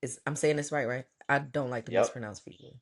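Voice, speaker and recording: Man, podcast host, mid-pitched, chatty, laughs a lot, self-mocking. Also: brisk, gravelly. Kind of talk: casual interview chat.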